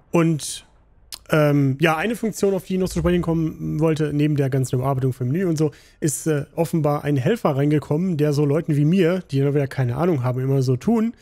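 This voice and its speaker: A slightly hoarse, tired man casually commenting on a game he plays, rambling with many fillers. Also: fairly high pitch, dark tone. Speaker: gaming channel host.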